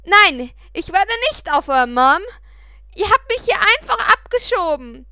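A woman's voice: extremely high pitch, sing-song, a little slow, sharp tone, dry recording, studio quality, voice pushed up, exaggerated voice. A woman voicing an angry, defiant sixteen-year-old girl in an audiobook, sarcastic and confrontational. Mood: furious.

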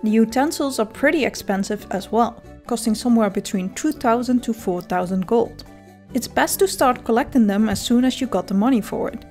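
A woman's voice: fairly high pitch, darkish tone. A woman with a Dutch accent, a native Dutch speaker, narrating a scripted game guide with dry, self-mocking humor.

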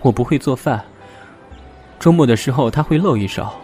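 A man acting a gentle, reflective young man in an audio drama, wistful and hesitant about lost love. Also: medium pitch, normal speed, faint music behind, lightly acted.

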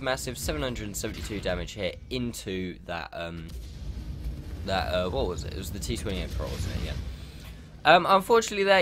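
A man's voice: medium pitch, fairly bright tone, slight background noise. A young man with a stuffy nose from a cold, casually commenting over a game replay and explaining tactics with lots of ums. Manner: rambling, explanatory, many ums. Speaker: gaming channel host commenting a replay.

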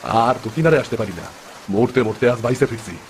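A man's voice: medium pitch, animated, fairly quick, slight background noise, close to an everyday voice. A man playing a poor, good-natured village fisherman and father in a cartoon, speaking plaintively with a rural eastern Bengali accent. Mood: weary, plaintive. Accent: rural eastern Bengali (Bangladeshi). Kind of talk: dramatic cartoon story dub.

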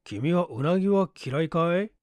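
A man playing an easygoing, animated detective. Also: on the high side, normal speed, no echo, clean studio sound, pitched above the performer's own voice, natural voice. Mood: playfully evasive. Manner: nonchalant, rambling, wry. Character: easygoing, whimsical male detective, dryly evasive. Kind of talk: Japanese audiobook character acting.

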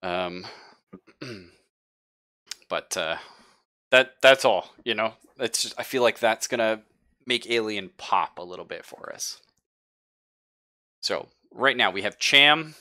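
A man speaking casually and haltingly as game master of an online tabletop game, thinking aloud. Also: lowish voice, animated, pushed and bright, no room sound.

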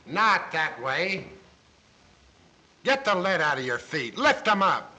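Bossy 1930s movie taskmaster, sharp and high, swooping as he barks commands. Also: big pitch swings, takes it slowly, full character voice, low-quality recording. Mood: grudging, bossy. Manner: barking orders. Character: bossy, impatient studio taskmaster. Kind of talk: 1930s film comedy acting.

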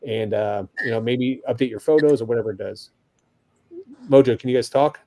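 A man's voice: mid-range voice, fairly quick, dark tone. A man co-hosting a live panel chat in a casual way, speaking to his listeners as a group. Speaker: podcast co-host.

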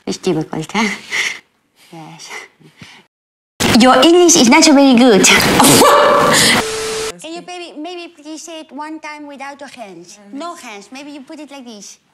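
Woman putting on a high-voiced, naive Japanese interviewer. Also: big pitch swings, a bit bright, full character voice. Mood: insistent. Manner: halting broken English, repeats phrases, mock-serious. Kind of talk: comic prank interview. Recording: very noisy, muddy background.

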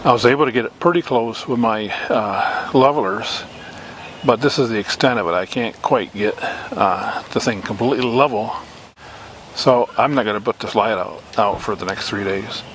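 A man from Michigan casually narrating a camping trip outdoors, relaxed and rambling with lots of uhs, recorded on a handheld camera. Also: lowish voice, moderate pace, slight background noise. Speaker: RV camping vlogger. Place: outdoors at a lakeside campground.

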